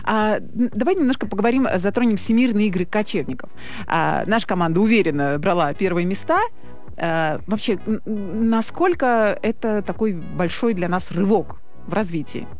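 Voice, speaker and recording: A woman's voice: mid-range voice, normal speed, a little mellow, faint music behind. A woman co-hosting a casual radio interview, asking questions in an inquisitive, conversational way. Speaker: radio co-host interviewing a guest.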